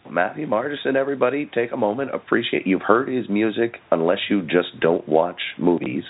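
Deep voiced podcast guy chatting, kinda halting n self-deprecating, muffled phoney sound. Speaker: podcast host. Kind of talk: casual interview and chat.

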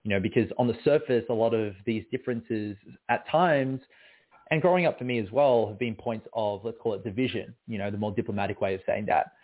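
A man's voice: on the low side, brisk. A man, a guest poet answering interview questions in a reflective, searching way. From Australia. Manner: reflective, many fillers and restarts. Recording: phone-like narrow sound.

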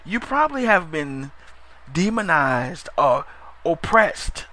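A man's voice: on the high side, big pitch swings, pushed and bright. A man preaching a broadcast sermon, emphatic and repetitive, asking "Amen?" and sometimes stumbling over words or speeding up. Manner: emphatic, repeats phrases, call-and-response "Amen?"; stumbles over words, drifts into fast talk.

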